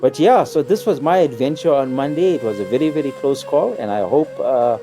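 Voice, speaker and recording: A man tells an anecdote in a casual, conversational way. He speaks as a guest on a talk, with clear music running behind him. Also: medium pitch, animated, fairly quick. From Chatsworth, South Africa. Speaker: guest telling his experience.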